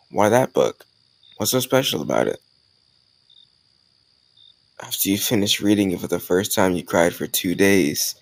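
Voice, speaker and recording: A man playing an easygoing male college student who chats casually and teases gently in an intimate romantic audio roleplay. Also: low voice, vocal fry, no echo, exaggerated voice, poor audio. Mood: soft, sincere. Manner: casual, conversational, gently teasing.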